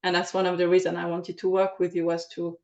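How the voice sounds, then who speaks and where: French-accented woman, low and flat. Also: quick pace, lo-fi sound. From France. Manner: hesitant, many ums and uhs. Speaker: podcast guest, aromatherapist. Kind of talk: casual interview answers.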